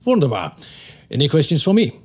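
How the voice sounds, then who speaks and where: A man playing a courteous German lawyer in an audio drama, with a German accent and formal, sometimes halting phrasing. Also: a little high, huge pitch swings, darkish tone, husky, exaggerated voice. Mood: formal, welcoming. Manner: formal, halting word searches.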